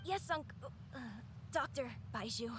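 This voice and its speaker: A woman's voice: high-pitched, expressive, unhurried, a bit bright, a little hiss or hum. A woman voicing an earnest, worried little boy in a video game. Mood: surprised, eager. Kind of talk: scripted video game dialogue. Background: game music.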